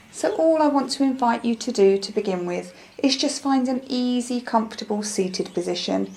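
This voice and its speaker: Soothing lady doing guided meditation, soft mellow tone, bit crackly, slow gentle instructions. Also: fairly high pitch. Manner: soothing, gentle, unhurried instruction with soft breathy phrase endings.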